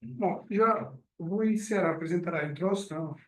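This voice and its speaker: A man's voice: sits high, normal speed. Italian-accented man presenting in an echoey room. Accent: Italian and French. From Italy. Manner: hesitant, with many fillers and restarts. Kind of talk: business presentation. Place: meeting room. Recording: roomy sound from a distant mic.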